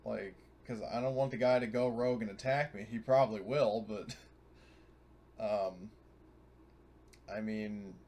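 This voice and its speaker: A man's voice: on the low side, unhurried, pauses often, warm tone. A man playing a tabletop game, chatting casually and thinking aloud in a rambling, sweary way.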